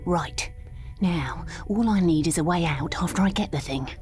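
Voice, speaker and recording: A woman's voice: on the low side, moderate pace, darkish tone, soft background music, lightly acted. A woman voicing a brash, plucky young English boat captain in a cartoon game, feisty and self-assured. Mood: nervy resolve. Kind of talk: scripted cartoon game acting.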